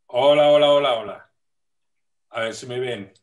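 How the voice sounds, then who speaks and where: A man from Italy with an Italian accent, speaking as a guest on a video call in a warm, reflective way with frequent pauses. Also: medium pitch. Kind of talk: casual video-call interview.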